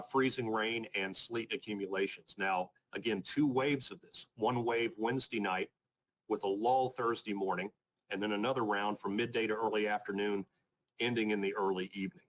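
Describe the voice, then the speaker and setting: A man presenting an informal technical weather briefing as a forecaster, speaking in a measured, matter-of-fact, plain-spoken way. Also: fairly low pitch, fairly even intonation, fairly bright tone, low-quality recording. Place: conference call presentation.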